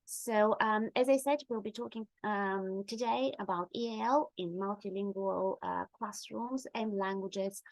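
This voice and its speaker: A woman's voice: medium pitch, measured pace, few pauses, no echo, poor audio. A middle-aged woman whose first language is Bulgarian, presenting an online webinar in a friendly way with many ums and uhs. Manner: friendly, with frequent fillers.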